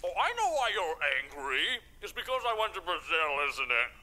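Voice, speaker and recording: A man's voice: fairly high pitch, sing-song, normal speed, pushed and bright, exaggerated voice. A man voicing a cocky, sarcastic vampire in a comic anime parody dub, with a flippant, smug, slangy way of talking. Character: cocky sarcastic male vampire, crude and playful. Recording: background music behind the voice.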